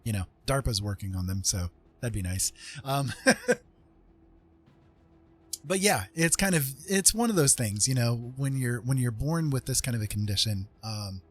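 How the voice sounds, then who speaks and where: A man with a husky voice hosting a casual livestream, talking in a rambling, joking way. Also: mid-range voice, animated, moderate pace. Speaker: streamer host.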